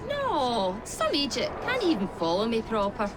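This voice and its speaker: A woman's voice: fairly high pitch, wildly swooping pitch, normal speed, loud music behind the voice. A woman playing a cocksure, dismissive Scottish gang member in a video game, scoffing and brash in manner. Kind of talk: video game cutscene acting. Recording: voice over clear background noise.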